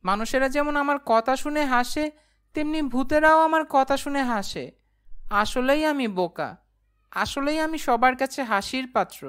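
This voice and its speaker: A woman's voice: sits high, normal speed, fairly bright tone. A woman voicing a timid, naive, polite young man who tells his own cartoon story in a meek, earnest way. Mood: self-pitying. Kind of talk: first-person cartoon storytelling and dialogue.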